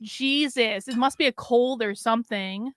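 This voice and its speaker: A middle-aged woman hosting a casual livestream, chatty and rambling with wry asides, her voice going hoarse. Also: on the high side, unhurried. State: losing her voice. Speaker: livestream host.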